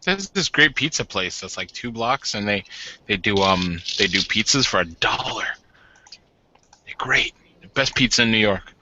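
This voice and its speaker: A man's voice: on the low side, expressive, moderate pace, pushed and bright, dull audio, a little hiss or hum. A man casually roleplaying as a player in a tabletop game session.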